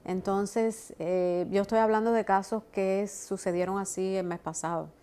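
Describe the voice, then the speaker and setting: A woman's voice: medium pitch, steady tone, moderate pace, mellow, clean tone. A woman immigration lawyer explains legal procedures in a mid-range voice. She talks steadily and often agrees with the host in short replies. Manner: explanatory, affirming with repeated "exacto". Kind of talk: expert interview.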